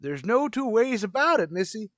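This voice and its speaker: High-voiced, folksy old lovestruck custodian. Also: male voice, sing-song, moderate pace, fairly bright tone, no echo, clean studio sound. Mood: stubborn, lovestruck. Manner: folksy drawling rustic turns of phrase. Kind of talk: old-time radio comedy acting.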